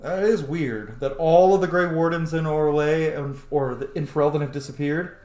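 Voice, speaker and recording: A man's voice: fairly high pitch, moderate pace, darkish tone, echoey room. A man giving casual, informal let's-play roleplay commentary with some swearing. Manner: informal, profane. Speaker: streamer, self-styled dungeon master.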